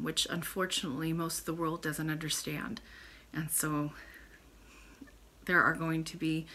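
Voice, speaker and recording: A woman in her thirties reads aloud as a bedtime storyteller. Her voice is bright and expressive, recorded at about arm's length in a small room. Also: fairly low pitch. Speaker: storytime reader. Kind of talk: expressive bedtime story reading with informal asides. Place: small room with bookshelves, indoors.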